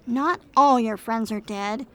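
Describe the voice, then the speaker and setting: A woman playing a gentle, earnest female android detective partner in a radio drama, speaking in a measured way. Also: a little high.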